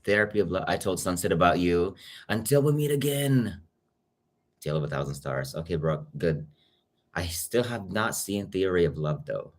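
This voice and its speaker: A man's voice: sits low, expressive, normal speed. A young man with a Filipino accent chatting casually on a livestream, greeting viewers by name as he talks. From Philippines. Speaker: YouTube reactor.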